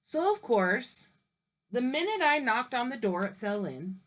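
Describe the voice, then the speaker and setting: A chatty storybook wolf pleads his innocence in a slow, lively telling, read by a woman in her own fairly high voice. He talks to the listener like an old friend, drawing out each word. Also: exaggerated voice. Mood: persuasive. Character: smooth-talking male wolf telling his side.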